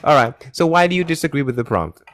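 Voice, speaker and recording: A man hosting a live call-in debate stream, pressing callers with insistent questions and joking loudly with his chat. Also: fairly high pitch, fast talker. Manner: lively, shouts and giggles at chat.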